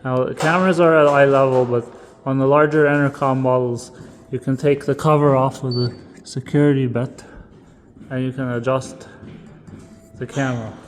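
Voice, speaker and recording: A man's voice: mid-range voice, steady tone, normal speed, soft background music. A man instructing in an informal, step-by-step way, as in a casual hands-on tutorial. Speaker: instructor demonstrating equipment.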